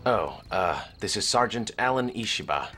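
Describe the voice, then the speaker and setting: Casting: young Delta sergeant, deep voice, wry, casual, cocky, audio drama. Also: male voice, lively intonation, moderate pace, bright, forceful tone, soft background music. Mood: casual, curious. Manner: casual, wisecracking.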